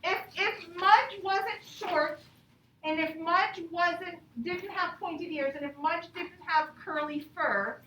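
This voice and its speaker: Female primary teacher, high register, instructional repetitive phrasing, reverberant classroom sound with faint noise. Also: slow pace, bright, forceful tone. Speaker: elementary school teacher. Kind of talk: classroom lesson with read-aloud and questions to children. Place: indoor classroom. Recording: echoey classroom, distant mic, background noise.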